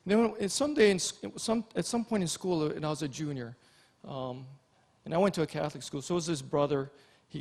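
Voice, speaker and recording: A middle-aged man from Hawaii giving an informal keynote as an Army general and physician, telling personal stories in a folksy, halting way. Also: on the high side, a little mellow.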